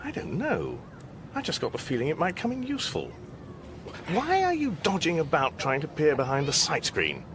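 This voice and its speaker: A man's voice: a little high, wildly swooping pitch, normal speed, background noise. An actor playing a bewildered, fussy English everyman in a radio comedy, flustered and pedantic, with an English accent. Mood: puzzled. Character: bewildered, fussy, indignant English everyman stranded among aliens. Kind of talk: radio comedy drama acting.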